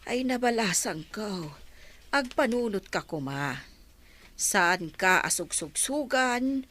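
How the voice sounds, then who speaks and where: A woman's voice: medium pitch, expressive, takes it slowly, fairly bright tone. A woman playing a nosy, gossiping older relative in an audio drama, prying and admonishing, with an Ilocano Filipino accent. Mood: concerned, nagging. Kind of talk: amateur audio drama acting.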